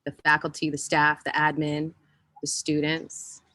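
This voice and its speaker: Slow, bright-voiced heartfelt woman. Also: deep voice, completely flat delivery. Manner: heartfelt, emphatic. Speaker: panelist, former teacher, medical student. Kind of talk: unscripted panel answer.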